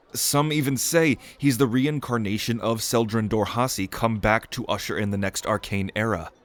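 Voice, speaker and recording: A man playing an eager, proud young noble mage prince in an audio roleplay, speaking in a theatrical, earnest way. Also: mid-range voice. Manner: theatrical, earnest, grandly expository. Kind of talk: acted audio roleplay spoken to the listener.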